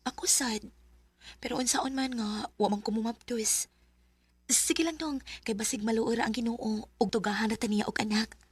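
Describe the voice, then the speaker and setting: A gentle young village daughter in a radio romance. Her voice is fairly high with a very breathy, husky edge. She speaks hesitantly with a Cebuano Filipino accent. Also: fairly even intonation, normal speed, no room sound. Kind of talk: Bisaya radio drama acting.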